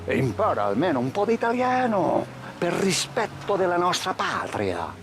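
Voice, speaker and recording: A man's voice: high-pitched, huge pitch swings, a little fast, noisy background, exaggerated voice. An actor playing a proud, irritable Italian gangster who scolds his partner in a game cutscene. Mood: scolding. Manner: scolding, exasperated. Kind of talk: 1930s gangster game dialogue.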